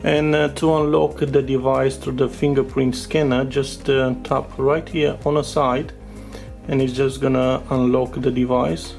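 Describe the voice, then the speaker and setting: A man, a native Romanian speaker, giving a casual, unscripted product overview in English as a tech reviewer, with halting, filler-filled delivery. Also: medium pitch, flat delivery, vocal fry, darkish tone. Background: music with guitar behind the voice.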